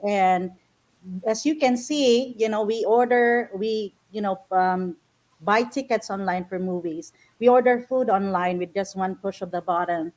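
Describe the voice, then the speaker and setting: A woman's voice: mid-range voice, darkish tone. A middle-aged woman from the Philippines, a panelist giving earnest answers with everyday examples. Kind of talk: panel discussion.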